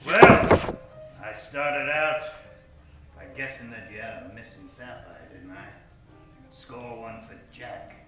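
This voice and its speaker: A man's voice: mid-range voice, takes it slowly, close to an everyday voice. A man acting a brash, wisecracking hardboiled private detective in a noir radio parody. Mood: flirtatious, confident. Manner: brash, swaggering. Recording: echoey, distant live stage with music. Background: music.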